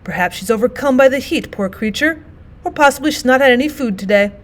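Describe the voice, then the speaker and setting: Woman playing a kindly, courteous gentleman, highish voice. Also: animated, quick pace. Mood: concerned, sympathetic. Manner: courteous, solicitous, exclamatory. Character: kindly, well-bred, sympathetic gentleman motorist.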